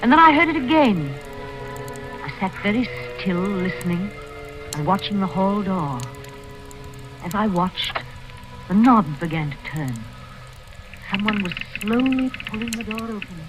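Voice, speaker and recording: A woman's voice: medium pitch, lively intonation, a little slow, long pauses, over music, close to an everyday voice, rough recording. An actress playing a haughty, prim, wealthy old lady in a vintage radio drama. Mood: prim narrating. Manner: imperious, prim, scolding. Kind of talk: 1940s radio acting, first-person narration and dialogue.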